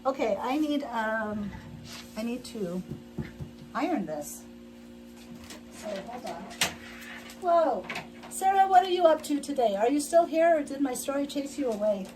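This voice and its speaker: A woman's voice: high-pitched, expressive, measured pace, pauses often, poor audio. A middle-aged woman chatting casually as she sews on a livestream, rambling with frequent restarts and laughs, in her sewing room at home. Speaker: livestream host. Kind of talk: casual chat while sewing.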